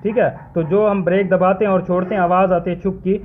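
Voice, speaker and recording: A man in his thirties talks through a brake valve repair in a truck workshop, speaking a little higher than most men. He checks in with the viewer after almost every step. Faint workshop and vehicle noise sits under his voice. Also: level tone, fast, narrow, tinny audio. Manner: explanatory, repeats "theek hai" to check in with the viewer; uses frequent Islamic phrases like inshallah and mashallah. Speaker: truck mechanic. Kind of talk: hands-on repair tutorial.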